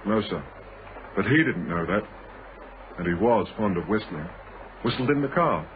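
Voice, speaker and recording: A man's voice: lowish voice, lively intonation, moderate pace, lightly acted. An actor playing a deferential male subordinate making a clipped, matter-of-fact report in a radio thriller. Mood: dry, matter-of-fact. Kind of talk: British radio thriller acting. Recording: thin, phone-like, hissy old radio recording.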